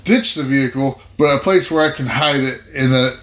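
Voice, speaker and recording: A man playing a tabletop roleplaying game, chatting casually and thinking aloud in halting, repeated phrases. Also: mid-range voice, a bit bright, slight background noise. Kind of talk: casual game-table chat, improvising in character.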